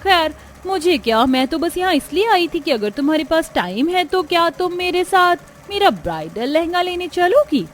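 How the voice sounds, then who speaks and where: A woman's voice: very high-pitched, sing-song, moderate pace, few pauses, a little hiss or hum, voice pushed up. A woman voicing a haughty, boastful rich young bride-to-be in a cartoon, talking in a showy, condescending way. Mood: taunting.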